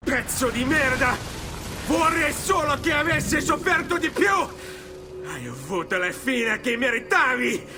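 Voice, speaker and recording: A proud young Italian hero in a video game. His voice is high for a man, earnest and headstrong, with an Italian accent. Music plays clearly behind him. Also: big pitch swings, normal speed. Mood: bitter, angry. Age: young adult. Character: proud, hot-headed young Italian nobleman, earnest nephew. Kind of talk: video game cutscene acting.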